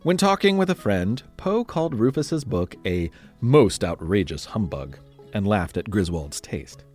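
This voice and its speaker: A man with a low, expressive voice tells history as a scripted podcast story. He leans on key words and pauses for drama, with music behind him. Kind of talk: dramatic scripted history narration for family listeners. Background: music under the voice.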